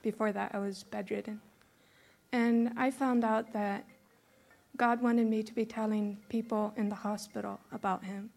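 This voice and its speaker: A woman's voice: mid-range voice, flat delivery, moderate pace, vocal fry, a little mellow. A woman in her thirties who grew up in Brazil, giving an earnest personal faith testimony to a church group. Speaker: former teacher giving a testimony.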